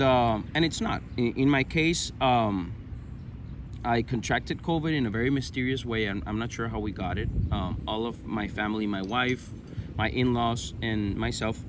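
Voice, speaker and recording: A man in his thirties with a Venezuelan Spanish accent shares personal experiences in a halting, conversational way, often searching for the right word. His pitch is mid-range. Clear outdoor noise with traffic runs behind him. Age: 30s. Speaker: interview guest.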